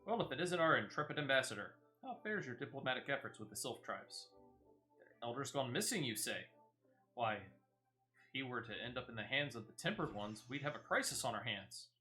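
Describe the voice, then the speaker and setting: A man's voice: mid-range voice, sing-song, fairly quick, pushed and bright, faint music behind, poor audio. A man voicing a formal, commanding military officer in a fantasy game, with declamatory, orderly delivery. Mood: brisk, concerned. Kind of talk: video game quest dialogue read aloud.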